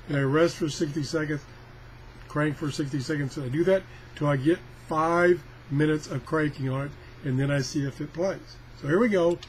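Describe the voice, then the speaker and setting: A man with a slightly high voice chats his way through a hands-on demo. He rambles in a folksy, self-deprecating way, as casual as can be. There is some noise behind him. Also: darkish tone. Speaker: product tester presenting a radio review.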